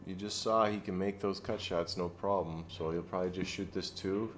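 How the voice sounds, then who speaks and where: A man giving relaxed live commentary on a pool match, explaining each shot and joking dryly. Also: deep voice, normal speed, slight background noise.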